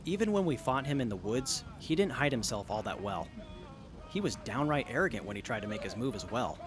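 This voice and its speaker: A man's voice: medium pitch, sing-song, brisk, over music, close to an everyday voice. An actor playing a confident male engineer explaining his inventions in an audio drama. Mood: wary. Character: male engineer who builds gadgets, keen and confident.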